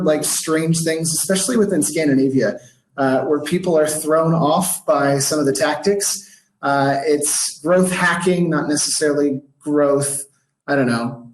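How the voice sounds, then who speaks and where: A man with an American accent gives a casual online presentation about startups. His voice sits a little high, and he talks loosely with plenty of "uh"s and "like"s. The room around him adds a clear echo. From Washington, D.C., United States. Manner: casual, filler-heavy, with frequent "uh" and "like". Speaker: startup marketing speaker. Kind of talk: webinar presentation. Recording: echoey room sound.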